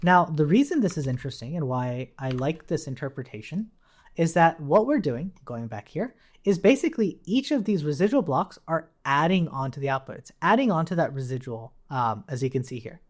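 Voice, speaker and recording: A man teaches programming in an informal, conversational tutorial style. His pitch is animated, jumping up and falling away within explanations. He talks things through as he works and often tags a point with "right?". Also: a little fast. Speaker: programming instructor. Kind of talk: informal coding tutorial, explaining while typing.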